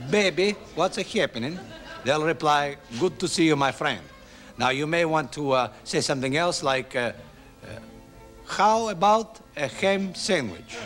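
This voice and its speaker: Beaming greek tv teacher, sing-songy put-on greek accent, voice bounces way up and down, kinda high. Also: male voice, a bit bright. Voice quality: beaming, sing-song, leans hard on catchphrases. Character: jovial Greek male TV travel instructor. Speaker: instructor on a morning education show. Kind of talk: 1980s TV sketch comedy.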